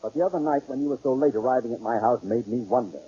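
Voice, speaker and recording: An actor playing an older, blustery bank boss with a raspy voice in an old radio sitcom. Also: male voice, mid-range voice, fast talker, mellow. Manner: blustery, repeats himself. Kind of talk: 1950s radio sitcom acting. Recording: dull vintage radio recording.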